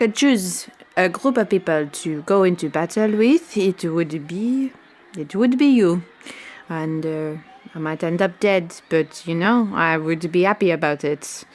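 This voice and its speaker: An actress playing a tough but fond chief stagehand in a radio drama, with an earnest, halting, familiar manner. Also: female voice, lowish voice. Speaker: actor in an audio drama. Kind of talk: naturalistic radio drama acting.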